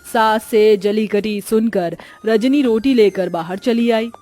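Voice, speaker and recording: A female storyteller with a high voice reads scripted cartoon narration. Music plays underneath her. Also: takes it slowly, mellow.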